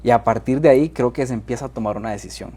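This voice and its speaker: Male guest, mellow and husky, thoughtful. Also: medium pitch, very fast. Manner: thoughtful, hesitant starts. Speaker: financial advisor guest. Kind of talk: interview answers.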